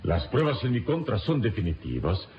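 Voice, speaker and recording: An actor playing a calm, resigned adventurer hero in a radio serial, speaking in a measured, solemn, formal way. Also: male voice, lowish voice. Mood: calm acceptance. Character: calm, resigned turbaned adventurer hero, confessing under interrogation. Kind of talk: old radio serial acting. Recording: dull, noisy old radio recording.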